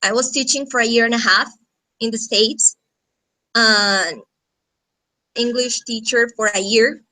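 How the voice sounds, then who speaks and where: A woman's voice: on the high side, measured pace, pushed and bright, poor audio. A woman in her thirties from Colombia, a native Spanish speaker with a Colombian accent, informally introducing herself as a guest teacher on a video call. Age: 30s. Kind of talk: informal self-introduction to a class.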